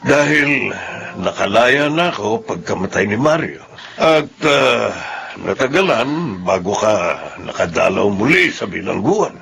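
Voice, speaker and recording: A Filipino man freed from prison, earnest and deliberate. His low voice has a gravelly edge and rises and falls expressively in radio-drama style, over a clear music bed. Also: sing-song, fairly bright tone, exaggerated voice. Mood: earnest, relieved. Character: earnest former prisoner man keeping a promise to a widow.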